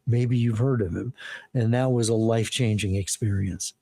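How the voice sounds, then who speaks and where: Older man, mellow dark voice, hesitant restarts, self-deprecating, dry sound. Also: on the low side, steady tone, moderate pace, no room sound. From Wisconsin, USA. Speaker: guest, retired journalist and writing coach. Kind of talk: relaxed interview conversation.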